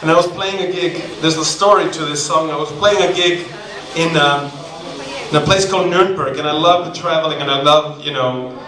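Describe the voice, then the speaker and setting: Icelandic guy bantering onstage, kinda high voice, echoey room, far from the mic, guitar going. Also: moderate pace. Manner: chatty and joking with the crowd. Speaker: singer-songwriter performing live. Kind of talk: stage banter between songs. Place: small dark live venue. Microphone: far camera in the audience. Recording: roomy distant live video with loud guitar. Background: guitar music.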